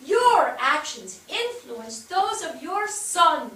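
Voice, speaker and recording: Actress as animated, sharp-tongued woman, echoey theatre. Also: high voice, takes it slowly, exaggerated voice. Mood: scornful. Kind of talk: theatrical staged reading. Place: theatre stage, picked up from far off. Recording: echoey theatre, distant, noisy.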